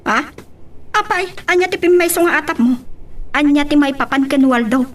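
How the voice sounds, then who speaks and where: A woman's voice: sits high, normal speed, close to an everyday voice. A woman with an Ilocano accent playing a shocked, nosy gossip in a radio drama. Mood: shocked, questioning. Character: shocked, nosy woman demanding news about an acquaintance. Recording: distant from the microphone.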